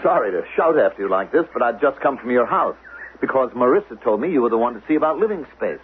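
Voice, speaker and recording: An actor playing an officious, probing teacher and suspected spy in a 1970s radio drama, formal and needling, with a husky voice. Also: male voice, mid-range voice, animated, fast talker, a little hiss or hum, close to an everyday voice. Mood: falsely friendly. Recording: narrow, phone-like old radio sound.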